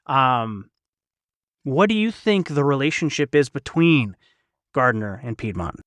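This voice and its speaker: A man's voice: mid-range voice, sing-song, a little slow, fairly bright tone, dry recording. A man hosting a casual panel discussion in a chatty, reflective, wordy way. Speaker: podcast host and voice actor.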